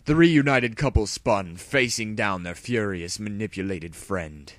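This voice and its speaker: Low-voiced man giving a theatrical reading. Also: a little slow. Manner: mock-serious, theatrical. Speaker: narrator. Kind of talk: dramatic audiobook-style reading of fan fiction.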